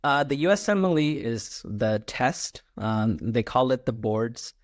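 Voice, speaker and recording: A man from Malaysia, a podcast guest, talks through his answers in a relaxed, halting way, full of ums and uhs. His voice sits at an ordinary male pitch, and the sound is dry and close-miked. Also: no room sound. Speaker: guest, clinical scientist. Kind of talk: interview answers.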